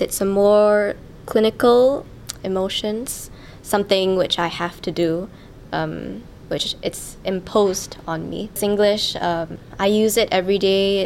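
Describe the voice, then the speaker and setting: Young woman, student interviewee, slow and halting delivery, mid-pitched voice. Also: steady tone, slight background noise. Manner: slow and halting, with many "um" pauses. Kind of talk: casual interview answers.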